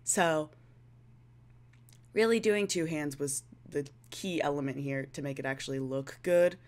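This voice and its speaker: A woman's voice: low-pitched, a little slow, darkish tone. A young woman giving casual, rambling commentary as a video creator, playful and self-deprecating.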